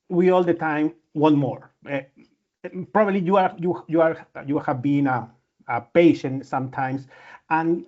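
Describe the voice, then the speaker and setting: A man's voice: on the high side, darkish tone. Man. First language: Spanish. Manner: earnest, frequent restarts and repeated words. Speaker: medical imaging researcher and physician giving a seminar. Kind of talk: lecture with slides. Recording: dull lo-fi audio.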